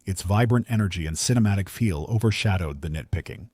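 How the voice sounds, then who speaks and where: Low-voiced male countdown narrator. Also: a little fast, very noisy. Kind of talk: scripted documentary-style countdown narration.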